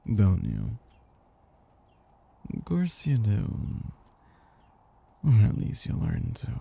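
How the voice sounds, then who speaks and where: A man playing a possessive, condescending vampire master who croons and teases slowly in an intimate ASMR roleplay. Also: lowish voice, heavily acted. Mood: teasing, possessive. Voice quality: husky, gravelly, dark. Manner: slow, crooning, teasing, condescending.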